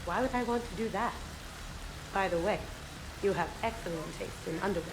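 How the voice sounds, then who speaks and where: A woman's voice: medium pitch, fairly quick, background noise, natural voice. An actress playing a playful, mischievous, nosy woman in a video game.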